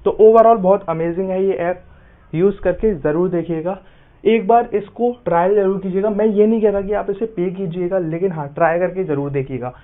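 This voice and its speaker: A man's voice: high voice, normal speed, phone-quality sound. A man giving an app tutorial voice-over as a YouTube host, often addressing his viewers as friends.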